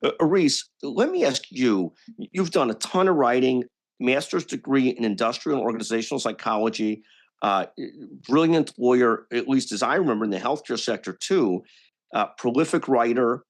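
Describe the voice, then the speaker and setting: A man's voice: mid-range voice, animated, normal speed. A man hosting a business podcast who introduces his guest with enthusiasm and often restarts his sentences with repeated words. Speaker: podcast host. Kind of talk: podcast interview.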